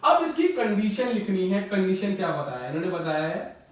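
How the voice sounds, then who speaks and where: A man's voice: sits high, big pitch swings, moderate pace. A man teaching a maths lesson, explaining patiently with everyday examples and repeating the key words. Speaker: maths tutor. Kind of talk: classroom lecture. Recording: echoey room, mic far off.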